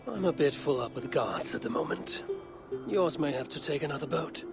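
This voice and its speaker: An actor playing a proud, dry-witted Viking warrior lord in a video game, with a gravelly voice and plainspoken, wry manner. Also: male voice, on the high side, animated, normal speed. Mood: teasing. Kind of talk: video game cutscene acting. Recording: music behind the voice. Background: music.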